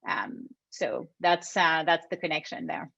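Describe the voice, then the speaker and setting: A woman from France, speaking as an investor on a panel, measured with soft fillers. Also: low voice, animated, gravelly. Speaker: venture investor and founder. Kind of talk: panel discussion remarks. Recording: dull, muffled audio.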